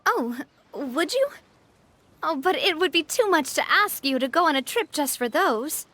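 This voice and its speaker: A woman playing a gracious, upbeat young female boss in a video game, speaking in a polite, friendly and expressive way. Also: high voice, a little slow, a little hiss or hum, heavily acted. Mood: flustered, thoughtful. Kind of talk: animated video game voice acting. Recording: soft game music behind.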